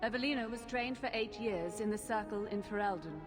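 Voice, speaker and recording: An actress playing an emotionless, orderly mage assistant in a video game, speaking with formal, detached precision. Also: female voice, mid-range voice, a little fast, natural voice. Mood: detached, matter-of-fact. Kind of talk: dramatic video game acting. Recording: long hall reverb, music behind.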